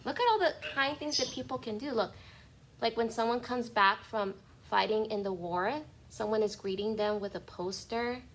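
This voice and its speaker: Higher-pitched woman teaching young children. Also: normal speed. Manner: expressive, instructive, addresses listeners directly. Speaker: kindergarten teacher. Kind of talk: interactive picture-book read-aloud to young children. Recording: noisy online class call.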